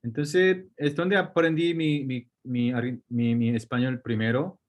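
Hombre con acento coreano, hablar vacilante. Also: male voice, mid-range voice, fairly even intonation, unhurried. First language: Korean. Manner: hesitant, restarts words, mixes in English. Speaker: guest surgeon. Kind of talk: casual interview.

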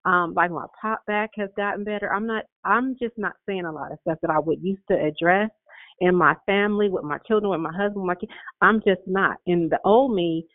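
A woman's voice: fairly low pitch, a little fast, no room sound. A woman in her fifties calling in to share an earnest, rambling testimony, repeating words as she goes. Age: 50s. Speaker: caller. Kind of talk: casual testimony on a call-in prayer line. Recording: tinny phone line.

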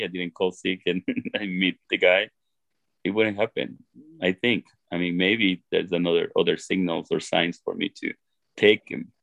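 A deep, gravelly-voiced man from Ecuador with an Ecuadorian Spanish accent, recorded close and dry. He is middle-aged and talks through his story in an earnest, halting way, often restarting his sentences. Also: fairly bright tone, dry recording. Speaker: interview guest. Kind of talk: reflective personal storytelling.

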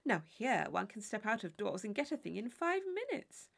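A woman's voice: medium pitch, very animated, normal speed, creaky, fairly bright tone, full character voice. A woman voicing a fussy, chatty married lady in a storybook reading, gushing and rambling about small matters. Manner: gushing, rambling, easily impressed. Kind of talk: audiobook character dialogue.

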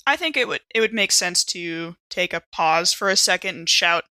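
A woman's voice: lowish voice, lively intonation, moderate pace, sharp tone, no echo. A woman playing a tabletop game, playfully describing her character's actions. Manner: playful, laughs easily. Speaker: player.

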